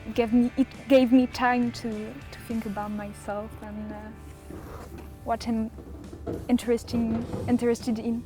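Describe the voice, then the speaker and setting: A woman's voice: on the high side, little pitch movement, measured pace, mellow, faint music behind. A young woman from the south of France with a French accent, answering casually, searching for English words and often giggly. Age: young adult. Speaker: exchange student interviewee.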